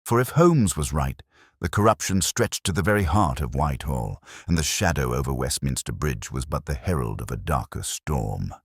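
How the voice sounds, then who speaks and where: A man reads dramatic detective fiction in an extremely deep voice. His intonation is lively and varied, and the sound is dry, with little room around it. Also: moderate pace, husky, dry recording. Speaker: audiobook narrator. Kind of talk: scripted dramatic story narration.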